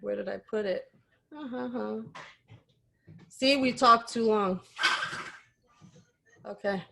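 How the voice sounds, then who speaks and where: A singer with a New York accent, chatting casually and self-deprecatingly from home over a video call. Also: female voice, on the high side, takes it slowly, vocal fry, sharp tone. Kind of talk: casual chat before singing.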